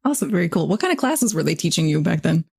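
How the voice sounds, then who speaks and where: A woman's voice: on the low side, sing-song, fast, vocal fry, darkish tone, breathy edge. A woman co-hosting a podcast, asking questions and chatting in a friendly, upbeat way. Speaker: podcast co-host. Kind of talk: casual chat and interviewing.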